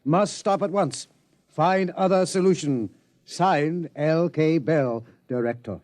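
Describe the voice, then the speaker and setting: A man's voice: fairly high pitch, slow pace, darkish tone. An actor playing a prim, formal male observatory director who gets flustered, in a 1950s radio drama. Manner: formal, flustered, stammers when upset.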